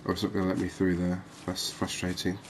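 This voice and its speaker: A soft-spoken man giving ASMR gameplay commentary, thinking aloud with pauses. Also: low-pitched, monotone, a little hiss or hum. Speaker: gaming video host.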